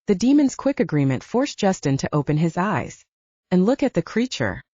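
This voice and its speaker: A woman's voice: fairly low pitch, very animated, moderate pace, dark tone, slightly rough. A woman reading scripted fiction as an audiobook narrator. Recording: roomy, dull lo-fi audio.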